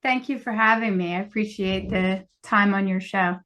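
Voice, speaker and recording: A woman's voice: medium pitch, moderate pace, a bit bright, a little hiss or hum. A woman chatting casually as an author guest on a podcast, easygoing and laughing often. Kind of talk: casual chat.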